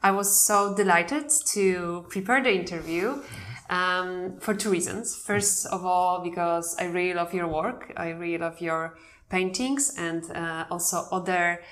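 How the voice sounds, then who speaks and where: A woman's voice: lowish voice, unhurried, fairly bright tone. A woman hosting an art podcast, reading a scripted introduction and then asking questions with some hesitation. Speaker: podcast host. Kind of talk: podcast interview.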